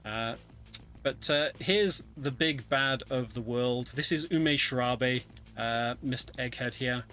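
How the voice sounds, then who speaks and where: Guy doing casual speedrun commentary, bright voice, chatty, bit of game music underneath. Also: medium pitch, slow. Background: video game music.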